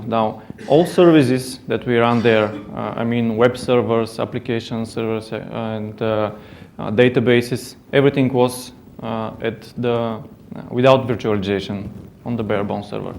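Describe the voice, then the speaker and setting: A man's voice: medium pitch, gravelly, a little mellow, a little hiss or hum. A man with a Bulgarian accent giving a technical conference talk, hesitant, with many fillers and restarts. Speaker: conference speaker. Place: conference hall.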